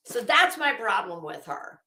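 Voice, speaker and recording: Female livestream commentator, combative and emphatic, unhurried pace with a sharp edge, off-the-cuff and sweary, echoey room sound. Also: medium pitch, big pitch swings. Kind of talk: opinionated live commentary, casual rant.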